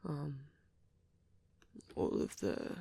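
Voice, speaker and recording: A woman voicing a shy, nervous young male vampire in a soft ASMR roleplay, hesitant and stammering, with a breathy, husky voice. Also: drawn-out words, no room sound. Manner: hesitant, stammering, self-doubting. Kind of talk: ASMR boyfriend roleplay, improvised rambling.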